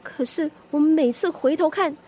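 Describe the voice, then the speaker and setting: An actress playing a stubborn, jumpy young student with a Singaporean accent, complaining expressively in a radio drama. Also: female voice, sits high, moderate pace, a little mellow, slight background noise, natural voice. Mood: uneasy. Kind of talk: radio drama acting, conversational.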